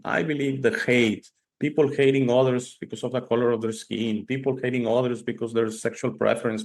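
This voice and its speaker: A mid-pitched man from Italy hosting an interview. He speaks earnestly, repeating phrases for emphasis and letting his voice jump up on the words that matter. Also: darkish tone. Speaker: show host.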